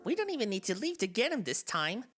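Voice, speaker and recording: Girl doing creepy bored flower creature from a game, confiding, swings wistful to menacing, lively pitch, music behind. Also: fast talker. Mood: coaxing. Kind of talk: dramatic monologue in a game fan dub. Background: game soundtrack music.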